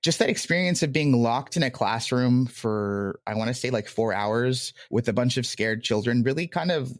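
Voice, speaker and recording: A man talks as an interview guest about his own work in a reflective, conversational way. His pitch sits in the middle range and the sound is dry. He hedges as he goes and often quotes himself. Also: animated, moderate pace, dry recording. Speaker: podcast guest, audio drama creator.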